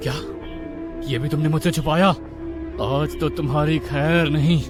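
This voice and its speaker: A man's voice: mid-range voice, steady tone, moderate pace, over music, natural voice. A man acting angry, menacing male characters in a dramatized moral story, accusing and threatening. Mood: outraged. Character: angry, menacing husband and street men in a moral tale.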